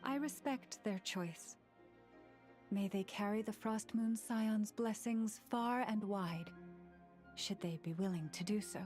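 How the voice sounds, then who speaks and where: An actress voicing a calm, solemn priestess with antlers, speaking formally in game dialogue. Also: female voice, mid-range voice, slow, close to an everyday voice. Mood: gentle. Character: serene antlered female priestess, gentle and solemn. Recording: game audio with faint music behind.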